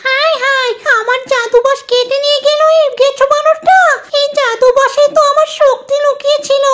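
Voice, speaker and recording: A wicked, greedy witch from a fairy-tale cartoon. The voice is extremely high, with theatrical scolding and exclamations, full of spite. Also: fairly even intonation, normal speed, a bit bright. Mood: angry wailing. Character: wicked female witch, greedy for power, vengeful.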